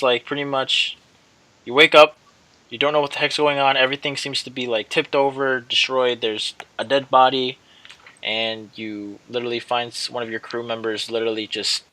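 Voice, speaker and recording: A man's voice: mid-range voice, sharp tone. A man hosting a casual let's-play, reading game text aloud and often stumbling and rereading as he goes. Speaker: gaming video host. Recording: clearly noisy home recording.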